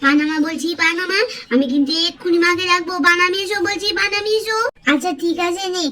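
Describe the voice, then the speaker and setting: Very high squabbling small child. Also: female voice, steady tone, quick pace, bright, forceful tone. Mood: annoyed. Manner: whiny, insistent, argumentative. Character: squabbling, boastful small child in doll cartoon.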